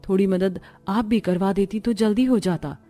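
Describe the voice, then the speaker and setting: A woman's voice: mid-range voice, normal speed, mellow, lightly acted. A tired, humble young housewife played by a woman in a cartoon drama. Her speech is plaintive and submissive, over a faint music bed. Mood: meek. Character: weary, meek, poor elder daughter-in-law and mother. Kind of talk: cartoon family drama voice acting.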